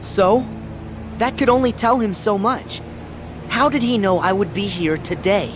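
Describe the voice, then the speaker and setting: An actor playing an earnest, defiant teenage boy hero in a game, emotional and quick to burst out in confusion. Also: high-pitched. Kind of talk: video game cutscene acting. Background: game soundtrack music.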